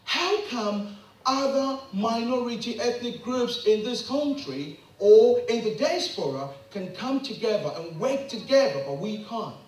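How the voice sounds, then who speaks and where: A middle-aged man addresses a meeting with passion, his high voice swinging widely through rhetorical questions. He is heard from a distance in a very echoey room. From Ghana. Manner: rhetorical questions, rising to emphatic peaks. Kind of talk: impassioned public address.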